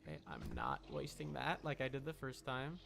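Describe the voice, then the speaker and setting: A man's voice: medium pitch, huge pitch swings, moderate pace, vocal fry, fairly bright tone, a little hiss or hum. A man chatting casually as he streams a game, rambling and repeating his phrases as he reacts to play. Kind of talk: casual live gameplay chat. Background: game audio.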